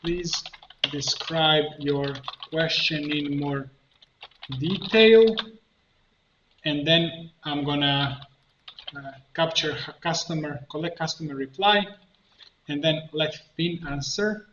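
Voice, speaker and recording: A man narrating a step-by-step software tutorial, thinking aloud in a halting way as he works. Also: on the high side. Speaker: tutorial instructor, coach. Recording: muffled, roomy, faint noise, keyboard clicks. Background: computer keyboard typing and clicking.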